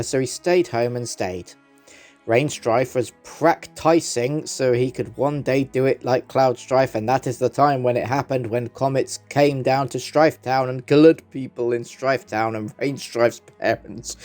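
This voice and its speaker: A man's voice: medium pitch, unhurried, darkish tone. A man narrating a story as a theatrical, mock-earnest dramatic reading. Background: background music.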